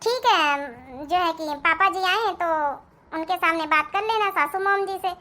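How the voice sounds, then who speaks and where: Someone voicing a very high, bright young daughter-in-law. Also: unhurried, full character voice. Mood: reassuring. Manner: reassuring, take-charge, speaks standard Hindi. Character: kind, confident young city-bred daughter-in-law. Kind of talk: Hindi family cartoon dialogue.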